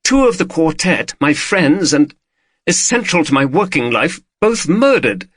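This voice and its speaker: Brief: man doing a shaken woman, higher and bright, pleading and anxious. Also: sing-song, a little slow, dry recording, clean studio sound, full character voice. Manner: anxious, pleading, rising to shouts. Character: frightened, tearful woman confronting her partner.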